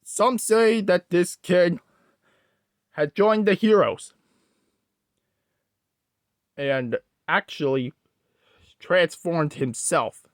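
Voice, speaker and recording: Storyteller for creepy internet tale: mid pitch, unhurried, halting, self-correcting delivery, dry sound. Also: dry recording. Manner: halting, corrects own wording mid-sentence. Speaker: host, storyteller.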